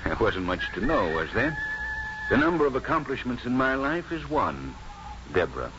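An actor playing a courteous, modest middle-aged father who chats gently in a 1950s radio drama. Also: medium pitch, big pitch swings, normal speed, natural voice. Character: genial, courteous, modest father of grown daughter. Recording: muffled, noisy 1950s radio recording.

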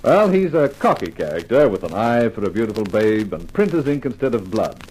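Animated male vintage radio announcer. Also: fairly low pitch, brisk, husky, low-quality recording. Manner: polished, formal, showman-like. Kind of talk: 1950s radio programme introduction and interview.

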